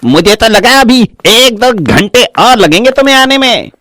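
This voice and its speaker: An actor playing a grumpy, bossy village husband in a cartoon story, sarcastic and scolding. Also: male voice, very high voice, sing-song, moderate pace, sharp tone, full character voice. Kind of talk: Hindi cartoon story acting.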